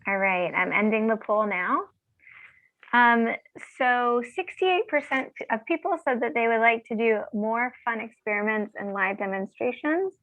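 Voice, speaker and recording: A woman's voice: a little high, unhurried, no room sound. A woman acting as moderator of an online class, speaking informally and practically over a call. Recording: narrow phone-like call audio.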